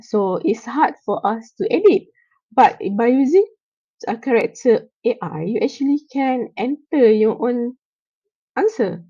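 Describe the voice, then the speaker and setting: A woman lecturer with a nasal, stuffy voice from a cold, explaining software tools to students with frequent fillers and checks for understanding. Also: a little high, lively intonation, breathy edge, dry recording, highs cut off. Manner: explains slowly with many fillers and check-ins.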